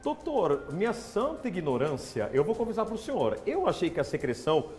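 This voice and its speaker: A hoarse man with a Brazilian Portuguese accent, hosting a TV health interview in a chatty, playful way in a studio. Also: high-pitched, wildly swooping pitch, normal speed, darkish tone, soft background music. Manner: chatty, asks questions with playful disbelief. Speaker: TV show host.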